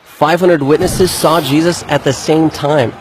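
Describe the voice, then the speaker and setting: A man's voice: fairly high pitch, steady tone, a little slow, slight background noise, natural voice, poor audio. An actor playing an earnest male believer who explains his case methodically and articulately in a scripted skit. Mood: confident.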